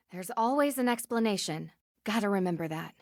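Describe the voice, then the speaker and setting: An earnest young woman detective from a video game. She speaks in a measured, conversational way at a middling pitch, curious and level-headed, in a dry, close recording. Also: no room sound. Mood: unsettled.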